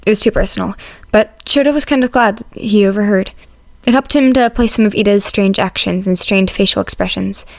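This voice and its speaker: A woman's voice: mid-range voice, no room sound. A woman reading scripted story narration as an audiobook narrator.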